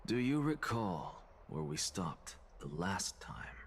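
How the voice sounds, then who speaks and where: A man voicing an arrogant, aloof, self-assured scholar in a video game, dry and deliberate. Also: sits low, sing-song, a little hiss or hum, exaggerated voice. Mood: lecturing. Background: game music.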